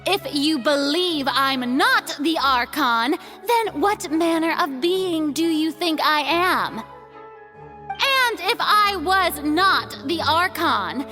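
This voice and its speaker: An actress playing a haughty, theatrical young goddess on trial in an anime game, speaking in grandiose declamation. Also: female voice, high-pitched, pushed and bright, exaggerated voice.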